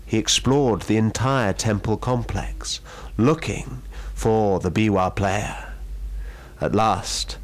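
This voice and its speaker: A man's voice: lowish voice, slow pace. A man reading a ghost story aloud in a dramatic storytelling style.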